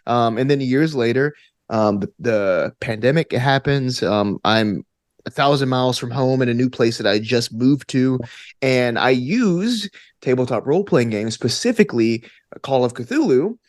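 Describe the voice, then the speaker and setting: A man from Alabama chatting casually as an interview guest, in a playful, animated way. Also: mid-range voice, measured pace, no echo, clean studio sound. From Alabama, United States. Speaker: podcast guest, actor and gamer.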